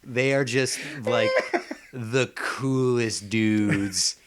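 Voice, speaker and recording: A man co-hosting a comedy podcast, improvising pitches in casual, jokey banter and slipping into exaggerated bits. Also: steady tone, extremely slow. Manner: jokey, slips into mock voices and exaggerated bits. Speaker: podcast co-host.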